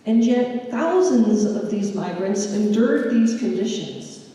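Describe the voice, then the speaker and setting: A woman presents history in a slow, measured storytelling manner. Her voice is mellow and warm in tone. She sounds distant from the mic, with the long echo of a large lecture hall around her. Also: mid-range voice, expressive. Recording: echoey hall from far off.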